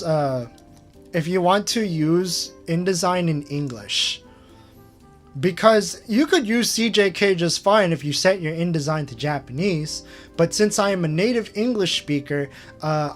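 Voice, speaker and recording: A man hosting a casual screen-share retrospective, rambling with lots of fillers and chuckling at himself. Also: high voice. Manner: rambling, filler-heavy, self-amused. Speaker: channel host.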